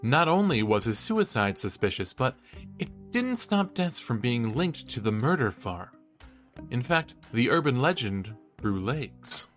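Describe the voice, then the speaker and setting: An eager young college student co-hosting a true-crime podcast in an audio drama. His pitch moves in a lively, playful way and his delivery is theatrical and cheeky. Music plays softly behind him. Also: unhurried. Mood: eager, speculating. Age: young adult. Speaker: podcast co-host. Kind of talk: scripted banter in a horror audio drama.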